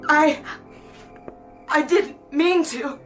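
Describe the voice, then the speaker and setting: Worried protective mom, high voice, slow n hesitant, radio play. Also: female voice. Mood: distressed. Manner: hesitant, anxious. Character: anxious, protective mother facing a stranger. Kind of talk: radio drama acting. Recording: radio drama with music underneath.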